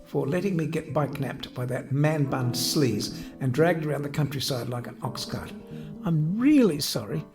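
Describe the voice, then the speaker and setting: A man's voice: on the high side, lively intonation, normal speed, music in the background. A man doing a sulky talking motorbike. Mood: aggrieved. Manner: wounded, grievance-laden.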